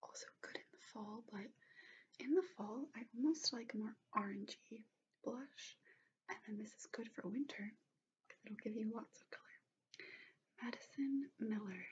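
A woman in her twenties doing gentle, soothing ASMR in a soft, breathy whisper. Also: fairly high pitch, moderate pace, slight background noise. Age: 20s. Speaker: ASMR host. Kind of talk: whispered ASMR personal-attention roleplay. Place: small bedroom, indoors. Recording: dull lo-fi webcam-style sound.

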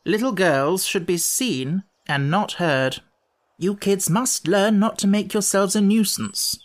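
Woman reader playing a languid, haughty young gentleman. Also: medium pitch, slow, voice pushed up, lightly acted. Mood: condescending. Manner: languid, haughty, old-fashioned upper-class slang. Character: grown-up baby brother, languid condescending young gentleman. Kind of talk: children's storybook character reading.

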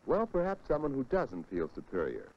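A man's voice: mid-range voice, huge pitch swings, normal speed, breathy edge. An American man giving scripted, didactic narration for a 1940s educational film, posing rhetorical questions to the viewer. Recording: muffled 1940s film soundtrack.

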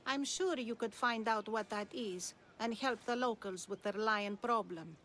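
A woman's voice: a little high, measured pace, a bit bright, slight background noise. An actress playing a shrewd, enigmatic older woman of ancient Greece in a video game, composed and cryptic. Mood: testing, composed.

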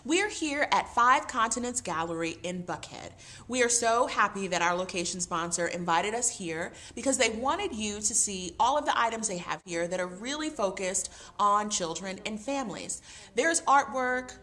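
A woman in her forties hosting a talk show in a gallery shop, lively and expressive. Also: medium pitch, moderate pace.

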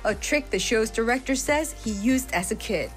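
News reporter woman, scripted narration, fairly high voice, music bed underneath. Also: animated. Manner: measured, scripted delivery.

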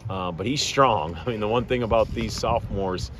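A man in his fifties, a head baseball coach, answering postgame questions in a measured, plainspoken way with many fillers and restarts, outdoors at a ballpark at night. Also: on the low side. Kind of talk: postgame media interview. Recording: outdoor background noise.

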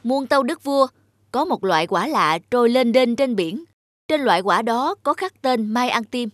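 A woman's voice: a little high, expressive, normal speed, lightly acted. Woman as an eager, deferential royal servant man. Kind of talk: cartoon fairy tale character voice.

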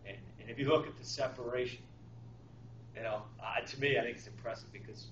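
Halting coach at a postgame presser. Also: male voice, medium pitch, fairly even intonation, a bit bright. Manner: halting, many fillers and restarts. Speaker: hockey coach. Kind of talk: postgame press conference answers. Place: small press room with draped table. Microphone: far camera. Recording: echoey room, far mic, dull lo-fi, noisy.

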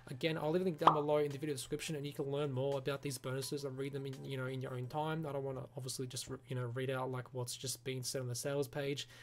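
A man giving a casual, rambling software review, often saying "you know" and "like". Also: mid-range voice, little pitch movement, fast, few pauses. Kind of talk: casual screen-recorded review. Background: background music.

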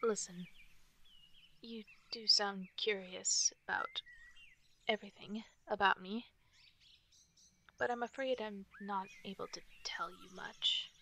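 Woman as a hushed, bright-voiced wolf fairy. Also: mid-range voice, steady tone, slow, pauses often. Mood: calm, reserved. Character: guarded, protective female wolf fairy of the forest. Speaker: ASMR roleplay performer. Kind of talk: soft ASMR roleplay, often whispered. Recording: nature ambience and birdsong noise. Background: forest sounds, birdsong.

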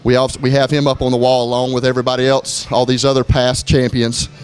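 A man's voice: medium pitch, fast, clear, resonant tone, rough recording. Middle-aged man giving a gym tour. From Florida. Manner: relaxed, with frequent fillers and restarts. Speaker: gym owner. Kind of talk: walkthrough tour, casual narration. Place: gym indoors, moving through rooms.

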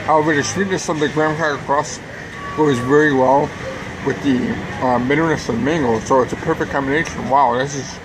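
Higher-voiced man vlogging in outdoor noise. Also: steady tone, brisk. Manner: enthusiastic, talking between bites. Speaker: food review vlogger. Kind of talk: casual food review to camera. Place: outdoors at a theme park table. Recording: noisy outdoor recording with background hum.

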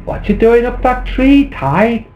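A man's voice: extremely high pitch, expressive, slow pace, darkish tone, reverberant, lo-fi sound, pitched above the performer's own voice, heavily acted. A man voicing a farmer's eager, earnest young son in a children's play. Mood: curious.

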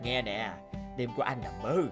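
A man's voice: medium pitch, normal speed, music in the background, close to an everyday voice. A man voicing a cocky, boastful young gambler in a cartoon, swaggering and scornful as a bossy older brother. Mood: bragging. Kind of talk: animated moral tale dialogue.

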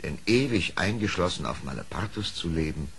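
A man narrating an old audio play as a storyteller, measured and expressive, in dramatic scripted style. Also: deep voice. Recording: faintly hissy old audio play record.

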